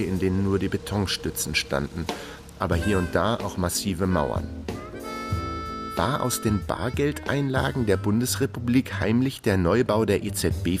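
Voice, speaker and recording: An actor playing a world-weary investigator, narrating his case drily in a radio crime drama. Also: sits low. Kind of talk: scripted first-person radio drama narration.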